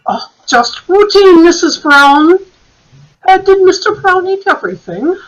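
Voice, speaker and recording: Woman acting a slow, high-voiced shrewd police sergeant. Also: animated, muffled recording. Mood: casually probing. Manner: musing, drawn-out, theatrical. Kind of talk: radio mystery acting.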